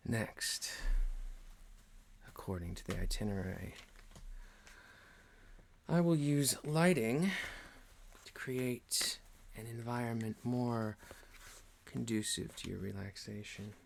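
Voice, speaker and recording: A man performing an ASMR roleplay as a calm, genteel boss, speaking in a hushed, deliberate, soothing, often near-whispered way. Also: mid-range voice, gravelly, a little hiss or hum. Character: calm, genteel male boss leading a relaxation ritual. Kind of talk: ASMR roleplay, guided relaxation.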